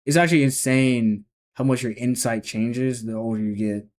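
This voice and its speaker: A young man in his early twenties reacts to a clip with casual, rambling commentary. His voice sits at an ordinary middle pitch. He often trails off and restarts his sentences as he thinks aloud. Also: steady tone, moderate pace, a little mellow. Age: 20s. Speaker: reaction video host.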